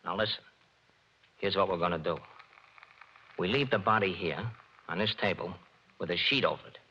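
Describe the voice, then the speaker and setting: A brisk, commanding radio detective. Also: male voice, low-pitched, pushed and bright, a little hiss or hum. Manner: brisk, commanding, fast-talking. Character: cocky wisecracking male private detective. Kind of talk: 1940s radio acting. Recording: muffled 1940s radio broadcast.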